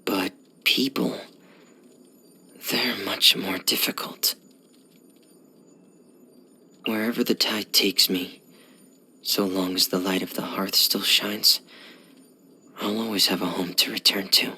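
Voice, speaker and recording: A man playing a shy, gloomy teenage boy diver in video game voice lines, speaking softly and hesitantly in a hushed, breathy voice. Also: deep voice, steady tone, a little slow, pauses often, exaggerated voice. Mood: gloomy, gentle. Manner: hesitant, reserved, subdued.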